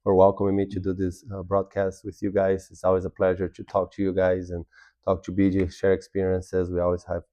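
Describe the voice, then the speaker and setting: A man's voice: deep voice, monotone, a little fast, warm tone, no room sound. A man in his thirties from Brazil, a native Portuguese speaker, answering interview questions politely and steadily. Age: 30s. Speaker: guest.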